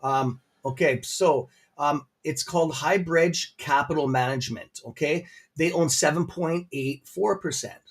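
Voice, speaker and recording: A friendly Canadian man talks through a livestream in a loose, filler-laden way. His voice sits a little higher than most men's. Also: measured pace, few pauses, breathy edge. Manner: rambling, filler-heavy, friendly. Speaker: guest presenter on a stock livestream. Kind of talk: casual livestream chat.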